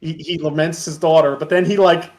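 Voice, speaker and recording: Higher-voiced man, rambling casual chat. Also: level tone, a little fast, darkish tone. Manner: rambling, acts out imagined lines. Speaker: guest, parody web video creator and editor.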